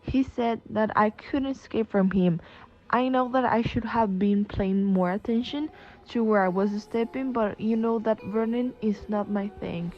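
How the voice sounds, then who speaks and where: Woman playing a slightly high-voiced schoolboy, muffled. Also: a little slow, natural voice. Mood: recounting, uneasy. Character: defensive young schoolboy recounting his nightmare. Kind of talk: amateur radio drama acting. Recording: slightly dull, muffled microphone.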